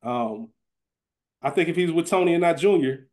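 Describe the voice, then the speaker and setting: Man, fairly high pitch, casual chat, colloquial, slang-heavy, podcast co-host. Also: lively intonation, normal speed, darkish tone.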